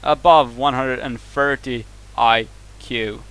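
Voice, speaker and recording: A man's voice: medium pitch, fairly even intonation, slow, fairly bright tone, clean tone, poor audio. A man with a Swedish accent whose first language is Swedish, ranting angrily to camera, heated and profane, often stumbling and restarting words. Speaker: vlogger reacting to a video. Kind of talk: angry rant to camera.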